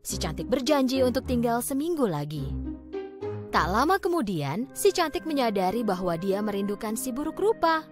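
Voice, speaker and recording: A woman narrating a children's fairy tale in an expressive, scripted storytelling style. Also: a little high, moderate pace. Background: background music.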